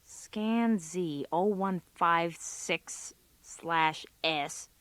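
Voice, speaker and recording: A woman's voice: fairly low pitch, extremely slow, fairly bright tone, clear, resonant tone, dry recording. An actress playing a sardonic, exasperated female office computer worker in a comic radio drama, given to wry muttered asides. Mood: wry, musing.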